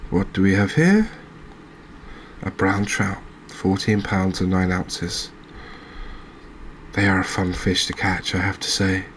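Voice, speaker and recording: A man giving quiet, unhurried ASMR-style commentary as he plays a video game, musing aloud with hesitant pauses. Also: sits low, a little hiss or hum. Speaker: host of a gaming channel playing a fishing game.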